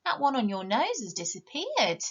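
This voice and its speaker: A woman's voice: sits high, huge pitch swings, normal speed, breathy edge, muffled recording, voice pushed up, heavily acted. A woman voicing an exasperated, sceptical mother of a small girl in a children's storybook. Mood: relenting.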